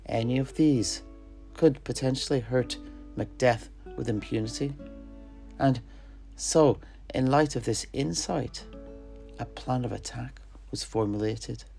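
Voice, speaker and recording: A man playing an alchemist storyteller, recounting fantasy legends of his homeland in a theatrical way with dramatic pauses. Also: mid-range voice, creaky, darkish tone, soft background music. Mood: dramatic storytelling. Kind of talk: in-character fantasy tale narration.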